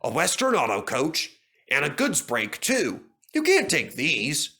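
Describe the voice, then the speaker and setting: An officious railway foreman from a children's storybook, acted by a man. He is suspicious and begrudging, with a forceful, lively delivery. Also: a little high, a little slow, heavily acted. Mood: accusing. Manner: gruffly challenging, begrudging.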